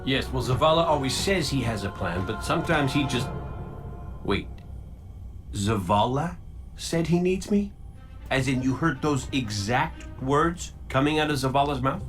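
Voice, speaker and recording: An actor playing a cocky, wisecracking robot gunslinger in a video game cutscene, talking in a flippant, theatrical ramble. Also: male voice, mid-range voice, lively intonation, a little slow, music in the background, lightly acted. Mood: pleading, excitable.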